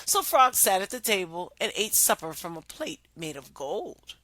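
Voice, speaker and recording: A woman telling and explaining a children's story as a reading teacher, in an expressive, deliberate manner. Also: lowish voice, dry recording.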